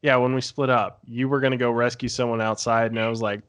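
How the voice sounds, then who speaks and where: A man's voice: medium pitch, little pitch movement, fast, fairly bright tone, clear, resonant tone. A man talking casually and informally, rambling through stories as a podcast panelist and swearing now and then. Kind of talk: casual chat recounting tabletop game sessions.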